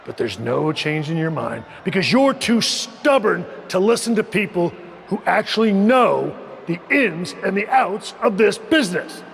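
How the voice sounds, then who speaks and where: A man's voice: sing-song, unhurried, creaky, exaggerated voice. An actor playing a stern, exasperated veteran wrestler turned boss, an American man speaking emphatically in a scolding wrestling promo. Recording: booming arena reverb, faint noise.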